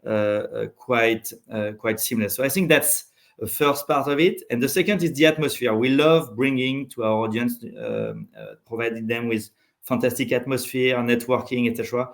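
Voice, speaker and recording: A man from France with a French accent, answering as an executive guest in an unscripted business interview, with halting phrasing and repeated words. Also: medium pitch, expressive, gravelly.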